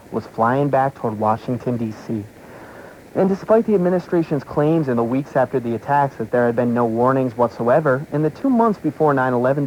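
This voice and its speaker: A man with a medium-pitched voice reads an article aloud as a radio host. His phrasing is deliberate and emphatic, and faint noise from a taped shortwave broadcast runs underneath. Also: a little mellow, lo-fi sound. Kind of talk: reading an article aloud on air.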